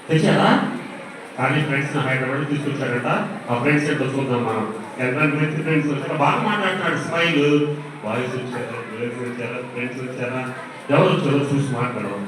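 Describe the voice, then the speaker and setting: A man's voice: mid-range voice, quick pace. A man hosting a live children's puppet show in a playful, questioning, teasing way. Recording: echoey hall, far mic, loud noise.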